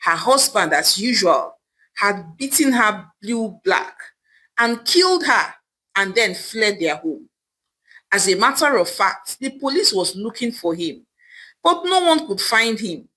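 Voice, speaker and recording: A woman's voice: medium pitch, big pitch swings, unhurried, pushed and bright, slightly rough. A woman storyteller narrating a scripted folktale in a dramatic, emphatic manner.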